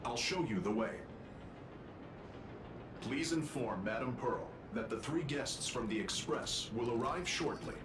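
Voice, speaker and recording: A man's voice: mid-range voice, animated, a little slow, reverberant, noisy background, close to an everyday voice. A man voicing a courteous, composed corporate escort for important guests in a video game. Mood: polite, businesslike.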